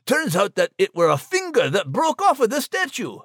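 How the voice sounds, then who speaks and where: An actor playing a scrappy, jokey male dog with a husky voice and a Scottish accent, bantering in a comic audio drama. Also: high voice, wildly swooping pitch, moderate pace, a bit bright, no echo, clean studio sound, heavily acted. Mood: chatty, boastful. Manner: folksy, colloquial banter.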